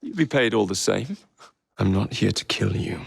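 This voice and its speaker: An actor voicing a cocky, wisecracking young English assassin and gang leader in a video game, flippant and sardonic. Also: male voice, lowish voice, animated, moderate pace, vocal fry, husky, dry recording, heavily acted. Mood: cool, confident. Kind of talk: video game character dialogue.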